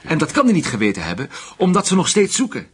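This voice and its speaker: An actor playing a shrewd German intelligence official in a radio drama, speaking formally and deliberately. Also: male voice, fairly high pitch, huge pitch swings, husky, exaggerated voice. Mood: firm, cautioning.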